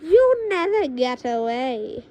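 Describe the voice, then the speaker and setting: A woman voicing a sinister, witchy old grandmother in a storybook, menacing and secretive, with a drawn-out delivery. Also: sing-song, mellow, dull audio, heavily acted. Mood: menacing, gloating. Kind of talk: storybook character voice in an audiobook reading. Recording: noisy low-quality home microphone.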